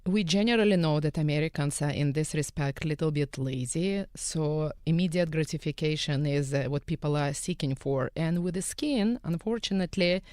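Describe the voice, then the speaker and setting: A woman's voice: low voice, normal speed, hardly any pauses, darkish tone, dry recording, studio quality. A woman from Eastern Europe with a Russian accent, a guest on a radio panel who talks earnestly and often drops articles. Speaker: guest, skin care salon owner. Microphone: studio microphone.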